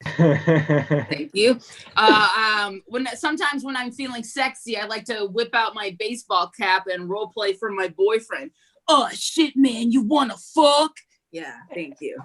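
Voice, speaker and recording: Slow deadpan woman doing one-liners. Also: medium pitch. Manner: slow, deadpan delivery with giggles between jokes. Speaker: stand-up comedian.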